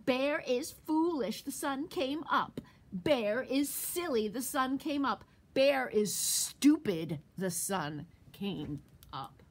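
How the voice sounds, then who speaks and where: A woman's voice: high voice, very animated, moderate pace, fairly bright tone, pitched above the performer's own voice, full character voice. A woman voicing a small, cocky young male squirrel in a children's storybook, chirping in a sing-song way. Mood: teasing. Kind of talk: storybook read-aloud for children.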